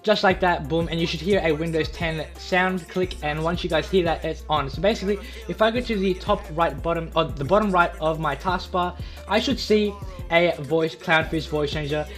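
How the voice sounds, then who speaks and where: A man's voice: on the high side, moderate pace, soft background music. A young man hosting a casual tutorial in a chatty, eager, repetitive way. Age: young adult. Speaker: YouTube tutorial host.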